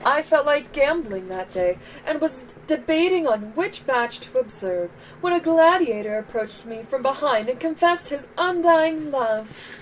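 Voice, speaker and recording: A woman's voice: high voice, expressive, a little slow, slight background noise, poor audio. A woman voicing a polite, naive and dramatic young lady in a video game, telling her story in a prim, earnest way.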